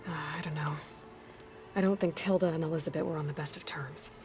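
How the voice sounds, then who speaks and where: Guarded young huntress, lowish voice. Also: female voice, fairly even intonation, fast talker, music in the background. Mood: guarded, serious. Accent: American. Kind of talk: naturalistic video game acting.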